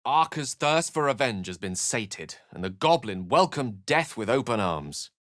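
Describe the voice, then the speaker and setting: An actor playing an eloquent, wry wizard companion in a fantasy video game, with articulate, theatrical phrasing. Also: male voice, medium pitch, big pitch swings, a little slow, a bit bright, no echo, clean studio sound. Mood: grave narration. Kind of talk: fantasy game dialogue and narration.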